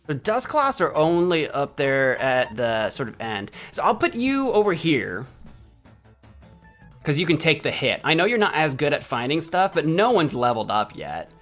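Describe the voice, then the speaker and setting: American guy streaming a game, kinda high voice, rambly, self-correcting, faint game music behind. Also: sing-song, normal speed, a bit bright. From United States. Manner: jokey, mock-indignant banter with chat. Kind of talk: casual gameplay commentary.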